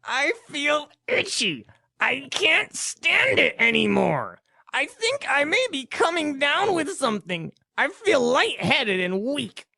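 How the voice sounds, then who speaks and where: A woman's voice: sits high, very animated, slow pace, creaky, bright, forceful tone, no room sound, exaggerated voice. A woman acting a sick young woman in a short recorded teaching dialogue, complaining of itching and brushing off her friend's worry. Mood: miserable. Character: young woman with itchy chicken pox, defensive. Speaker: dialogue actor.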